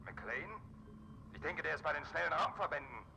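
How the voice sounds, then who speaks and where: A man's voice: on the high side, lively intonation, normal speed, gravelly, pushed and bright, full character voice. A man playing an impatient, irritable space commander calling base by radio in a 1960s German television drama. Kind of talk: 1960s German TV science fiction acting. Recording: very noisy vintage TV radio-call sound.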